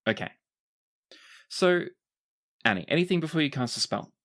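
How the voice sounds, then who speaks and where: A game master runs a tabletop roleplaying game in a mid-pitched voice. The narration is dramatic and descriptive, broken up by chatty, casual asides to the players. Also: animated, normal speed, fairly bright tone.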